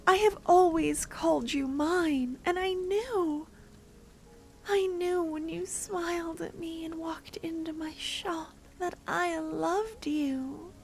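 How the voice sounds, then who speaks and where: A woman playing a possessive yandere merchant. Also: very high voice. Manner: soft-spoken, sweetly teasing, turning possessive. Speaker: ASMR roleplay performer. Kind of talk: scripted ASMR roleplay.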